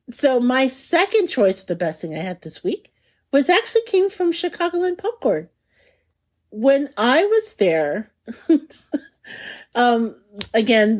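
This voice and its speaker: A woman's voice: medium pitch, a little mellow. A woman co-hosting a food podcast in casual chat, bubbly and chatty, laughing often. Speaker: podcast co-host.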